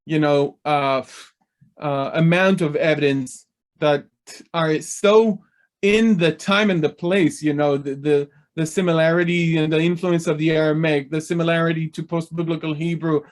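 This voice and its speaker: A man's voice: on the high side, steady tone, moderate pace. A man hosting an interview, talking in a hesitant way with frequent fillers. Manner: hesitant, frequent "you know". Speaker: host. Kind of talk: conversational interview.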